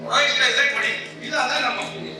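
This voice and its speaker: Man playing scheming village land broker, high voice, loud stage delivery, distant mic, music behind. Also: fast, heavy reverb. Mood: commanding, sly. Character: scheming male village middleman brokering farmland. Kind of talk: amateur stage play acting in Kannada.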